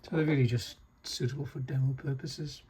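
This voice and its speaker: A man giving a casual, unscripted technical demonstration in a halting, low-key way, like a restorer explaining equipment. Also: fairly even intonation, a little mellow, a little hiss or hum. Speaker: vintage radio restorer demonstrating a set.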